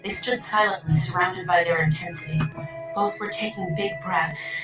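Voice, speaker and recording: A woman's voice: fairly low pitch, fairly even intonation, normal speed, lightly acted. A woman narrating a dramatized audiobook story. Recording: echoey distant playback with music. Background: music, sound effects.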